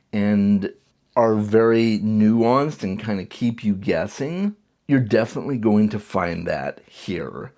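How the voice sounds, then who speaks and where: A man's voice: fairly low pitch, warm tone. A man giving a casual, enthusiastic book review, talking conversationally and sometimes restarting his sentences. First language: English.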